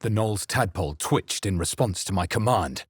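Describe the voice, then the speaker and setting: A man playing a brooding, watchful adventurer hero in a fantasy video game, with a husky voice and grave, deliberate, theatrical delivery. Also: low-pitched, animated, no room sound, studio quality. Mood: ominous narration. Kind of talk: dramatic game voice lines and first-person narration.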